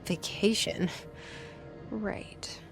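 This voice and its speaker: A woman's voice: very slow, music in the background. An actress playing a wry, guarded woman in a video game, giving a dry, understated inner monologue. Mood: skeptical, dry. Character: wry, guarded young woman, new director, thinking privately. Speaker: game voice actor.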